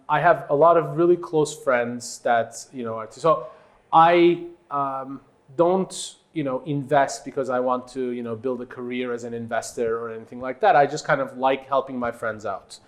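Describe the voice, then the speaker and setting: A man from Turkey with a mid-range voice speaks as an executive being interviewed. He is a native Turkish speaker who builds his answers in halting, conversational phrases, leaning on the points he wants to stress. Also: medium pitch. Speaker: company executive, interview guest.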